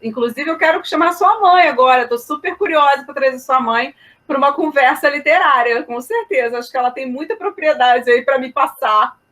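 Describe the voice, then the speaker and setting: A woman's voice: high voice, moderate pace, a bit bright. A woman hosting a casual interview chat, a literature teacher who talks chattily and keeps tagging questions onto her sentences. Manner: chatty, with frequent tag questions.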